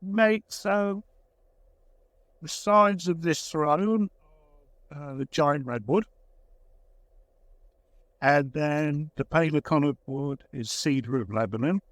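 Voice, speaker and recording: Male craftsman interviewee, unhurried and reflective, halting phrasing, casual tone, dry sound. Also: a little high, a bit bright, no echo. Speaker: woodworker, craftsman being interviewed.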